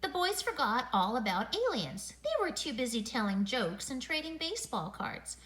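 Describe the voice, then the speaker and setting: A woman hosts storytime, reading a children's book aloud in a bright, fairly high voice. Her pitch moves freely and expressively as she brings the narration and dialogue to life. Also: very animated, normal speed. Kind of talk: animated read-aloud of a children's picture book.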